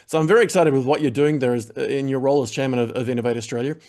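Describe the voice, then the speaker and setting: A man's voice: mid-range voice, rapid-fire, darkish tone. A man hosting a web TV interview, wrapping up with upbeat, rambling plugs full of fillers. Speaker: web TV show host. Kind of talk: interview wrap-up with audience plugs.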